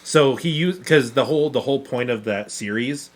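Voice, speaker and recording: A man co-hosting a podcast with casual, geeky banter, enthusiastic, agreeable and sweary. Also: medium pitch. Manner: enthusiastic, quick to agree, profane. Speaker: podcast co-host.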